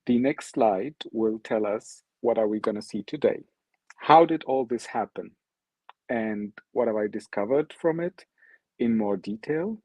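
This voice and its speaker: A man's voice: lowish voice, a little slow, mellow, no echo. A man whose first language is Arabic gives an online talk with slides as a guest speaker. He speaks with frequent ums and restarts. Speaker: guest speaker presenting his art project. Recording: dry, dull lo-fi audio.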